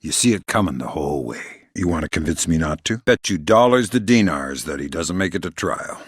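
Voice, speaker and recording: A man playing a gruff, middle-aged covert operative in a video game, with a gravelly, husky voice and a dry, deadpan, quietly threatening manner. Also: low voice, fairly quick, dry recording. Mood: quietly menacing. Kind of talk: video game voice acting, isolated dialogue lines. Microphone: close studio microphone, dry.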